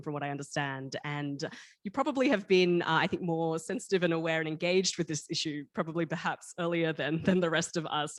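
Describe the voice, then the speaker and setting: A woman's voice: fairly low pitch, no room sound, clean studio sound. A woman moderating a panel in a conversational, polite and somewhat tentative way.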